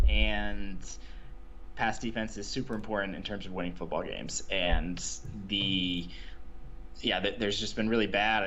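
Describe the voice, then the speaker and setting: A man's voice: fairly low pitch, fairly bright tone, rough recording. Man analyzing betting stats, faint ambient hiss. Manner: stat-heavy, hedging with "like" and "I think". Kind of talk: casual sports betting analysis.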